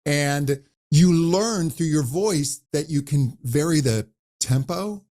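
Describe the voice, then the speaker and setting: A man who coaches voices, talking animatedly in an interview and demonstrating high and low pitch as he explains. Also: animated, takes it slowly, dark tone. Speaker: voice coach guest. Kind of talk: conversational interview.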